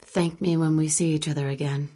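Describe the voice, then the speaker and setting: A wry woman reporter in a spy thriller game. Her voice sits on the low side and has a husky grain. She talks naturally and dryly, teasing and probing while keeping her guard up. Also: steady tone, a little fast, dark tone. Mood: guarded, earnest. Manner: dry, teasing, conversational. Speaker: video game voice actress. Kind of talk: naturalistic video game dialogue acting.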